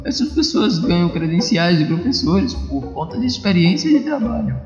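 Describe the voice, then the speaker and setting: Man voicing an echoey, high-pitched kindly old aunt. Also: big pitch swings, moderate pace, darkish tone. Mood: chatty. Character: kindly elderly aunt, gently teasing and caring. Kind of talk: dubbed comic-book dialogue. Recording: echoey, muffled, music behind.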